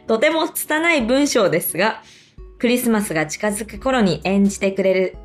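A playful woman co-hosts a relaxed chat show and reads out listener messages. Her mid-range voice swings freely and breaks into giggles. The sound is roomy, with light music underneath. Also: mid-range voice, measured pace. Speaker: radio show co-host, stage actor. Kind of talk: casual co-hosting chat, reading listener mail.

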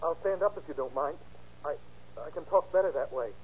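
An American actor playing a bitter, desperate jilted lover in a 1930s radio drama, pleading and intense. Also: male voice, on the high side, fairly quick, darkish tone, highs cut off, natural voice. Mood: pleading, wounded. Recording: scratchy, noisy 1930s radio recording.

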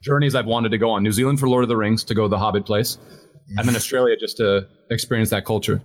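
A man's voice: on the low side, fairly even intonation, quick pace. Easygoing man in his thirties. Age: 30s. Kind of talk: casual group chat. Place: online voice chat.

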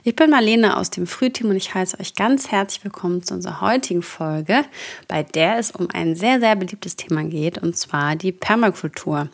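A woman's voice: fairly low pitch, expressive, moderate pace, vocal fry. A woman hosting a gardening podcast, chatting with a guest over a video call in a friendly, easygoing way. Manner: friendly, chatty, many fillers. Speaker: podcast host. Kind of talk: podcast interview.

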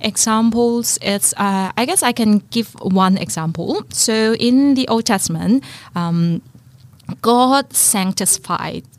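Soft-spoken woman sharing translation insights. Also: fairly high pitch, slow, no room sound. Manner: thoughtful, restarts often, laughs easily. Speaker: guest, Bible translator. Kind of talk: casual panel conversation.

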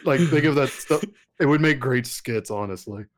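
A man co-hosting a casual, conversational review of an animated series. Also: mid-range voice, moderate pace. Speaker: podcast co-host.

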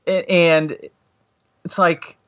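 Forties male guest, bright forceful tone, rambling storyteller who keeps restarting, dull lo-fi line. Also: a little high, level tone, extremely slow. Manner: rambling, restarts a lot, gets loud. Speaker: podcast guest, host of another show. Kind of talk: casual podcast chat, storytelling.

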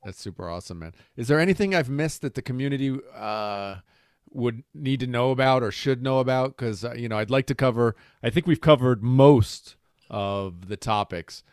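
Smooth, clear-voiced enthusiastic male interviewer. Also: mid-range voice, moderate pace, darkish tone, dry recording, clean studio sound. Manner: informal, enthusiastic. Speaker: interviewer, host. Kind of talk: casual chat interview.

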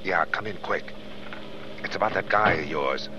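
An actor playing a scheming, two-timing American crook, slangy and insistent, in an old-time radio drama. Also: male voice, sits low, moderate pace, background noise, close to an everyday voice. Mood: sly. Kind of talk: 1930s-40s American radio drama acting. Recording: dull old radio recording.

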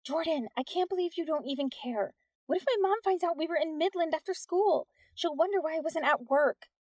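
A woman narrator plays a fifteen-year-old girl in an audiobook novel. She is high, strained and anxious. Her words come out exasperated and full of worry. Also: fairly quick, darkish tone, dry recording, clean studio sound, lightly acted. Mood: panicky.

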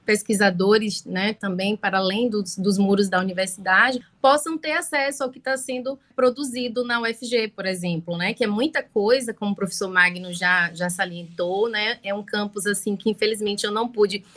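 A woman's voice: medium pitch, normal speed, hardly any pauses, a bit bright. A woman from Salvador with a Bahian Brazilian accent answers as an academic guest in an interview, often adding small tag questions as she goes. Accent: Bahian Brazilian Portuguese. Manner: frequent tag questions seeking agreement.

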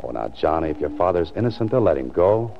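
An actor playing a world-weary, wry writer turned amateur sleuth in a 1940s radio drama, often talking to himself as narrator. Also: male voice, low voice, very animated, quick pace, creaky, slight background noise, full character voice. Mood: gentle, reluctant. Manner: wry, self-addressing narration. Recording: muffled 1940s radio recording with music.

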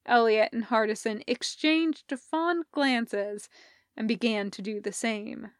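A woman reading scripted fiction as a narrator, measured and expressive in her storytelling. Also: a little high, clean tone, dry recording, studio quality. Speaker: podfic narrator.

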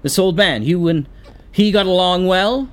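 An actor playing a polite, measured police officer who quietly probes in an old-time radio horror drama. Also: male voice, high voice. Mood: businesslike, probing. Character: courteous, quietly probing male police officer.

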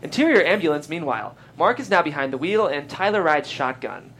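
A man's voice: a little high, big pitch swings, brisk, fairly bright tone, rough recording. A man reading screenplay stage directions aloud as the narrator of a live table read. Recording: faint background noise.

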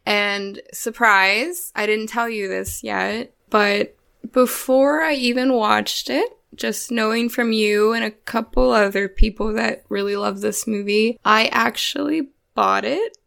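Giggly enthusiastic woman cohost gushing in casual chat, bit of vocal fry. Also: fairly high pitch, fairly even intonation, slow pace, fairly bright tone. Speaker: podcast co-host.

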